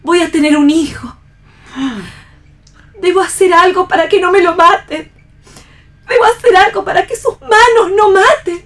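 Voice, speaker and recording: A woman's voice: extremely high pitch, fairly bright tone, far from the mic. An actress playing an anguished mother-to-be in a radio play, pleading passionately in an Argentine accent. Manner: impassioned, pleading. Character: anguished expectant mother pleading against killing. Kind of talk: theatrical read radio play.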